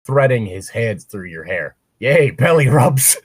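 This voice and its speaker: A man's voice: mid-range voice, sing-song, measured pace, breathy edge. A man reading fan fiction aloud casually and breaking in with sarcastic, crude wisecracks. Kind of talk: casual read-aloud with joking commentary.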